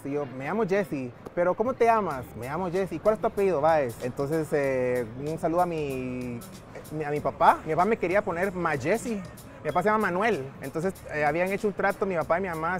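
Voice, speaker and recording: Easygoing young man from Guatemala. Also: a little high. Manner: easygoing, laid-back, chatty. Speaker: guest musician. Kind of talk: casual interview chat. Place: outdoors on a city street by a burger stand.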